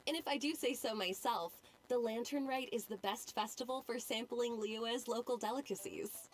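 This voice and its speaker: A woman's voice: fairly high pitch, normal speed, soft background music, lightly acted, rough recording. A woman voicing a friendly, knowledgeable festival-goer in a video game, chatty and informative.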